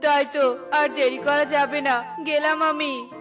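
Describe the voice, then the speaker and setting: A friendly, timid cartoon villager animal, played by a woman, speaks in a very high voice. The manner is simple and agreeable, with clear background music. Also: steady tone, measured pace.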